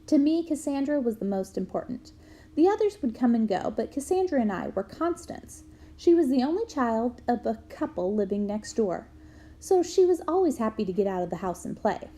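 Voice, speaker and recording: A woman reading a memoir aloud to students in a clear storytelling manner. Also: fairly high pitch, very animated, normal speed. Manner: clear storytelling, bursts into loud shouts for quoted yells.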